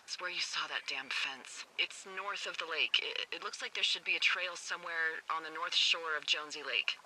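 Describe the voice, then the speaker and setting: A woman's voice: low voice, normal speed, pushed and bright, rough recording. A woman playing a wry, profane fire lookout supervisor over a two-way radio, in natural, conversational video game acting with stammered repetitions. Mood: hesitant, doubting. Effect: two-way radio filter. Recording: walkie-talkie sound with faint noise.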